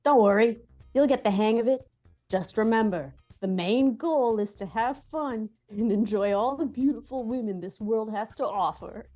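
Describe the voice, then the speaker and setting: A woman's voice: a little high, moderate pace, dark tone, faint music behind, close to an everyday voice. A woman acting an easygoing pickup-school veteran in a comedic table read, reassuring and encouraging.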